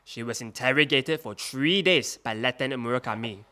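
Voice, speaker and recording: An actor playing a male witness at a wartime trial, speaking in a halting testimony that climbs into agitated outbursts. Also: medium pitch, bright, forceful tone, clean tone, close to an everyday voice. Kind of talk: audio drama acting.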